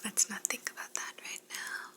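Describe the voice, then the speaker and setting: A woman playing a curious, politely condescending alien examiner in a sci-fi ASMR roleplay, whispering softly and fussily, repeating words soothingly. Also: natural voice. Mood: clinical, intrigued. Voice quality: soft, breathy whisper. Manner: slow, gently fussy, clinical, repeats words soothingly.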